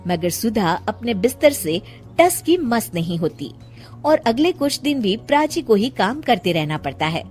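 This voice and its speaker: A woman doing scripted storybook narration for a cartoon, with an even storytelling cadence. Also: a little high, unhurried, soft background music.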